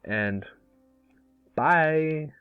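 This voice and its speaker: A man's voice: fairly high pitch, animated, extremely slow, smooth, dry recording, narrow, tinny audio, faint music behind. A man chatting casually as the host of an online table read. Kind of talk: casual chat.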